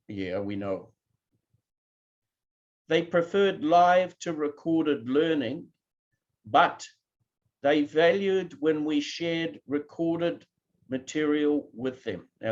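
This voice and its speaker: Slow-spoken New Zealand man lecturing. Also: fairly high pitch, dull audio. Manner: addresses a live online audience, asks for reactions. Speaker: keynote speaker. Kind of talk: online conference keynote lecture.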